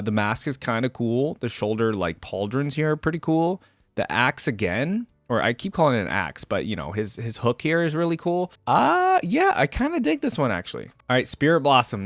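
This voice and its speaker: Man with animated, swinging pitch, reacting casually. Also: dry recording. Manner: mulls aloud, informal, opinionated. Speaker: reaction video host. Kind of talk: casual unscripted reaction commentary.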